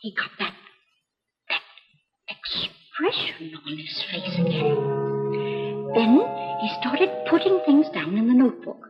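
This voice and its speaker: A fretful, chatty wife. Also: female voice, fairly high pitch, very animated, slow, long pauses. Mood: worried. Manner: anxious, chatty storytelling. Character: fretful middle-aged wife confiding in a doctor. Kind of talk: 1950s educational film acting. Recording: dull reverberant old film soundtrack with music.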